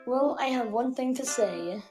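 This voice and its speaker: A woman speaking casually and haltingly, as if presenting show-and-tell, searching for words. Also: high-pitched. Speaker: puppeteer guest on the show. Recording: music behind the voice.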